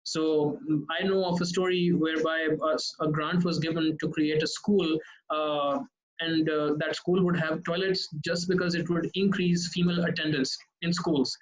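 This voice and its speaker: A man from Pakistan, a consultant guest, explaining his points in a measured way. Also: a little high, level tone, muffled recording. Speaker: guest, social impact consultant. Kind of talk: interview answers.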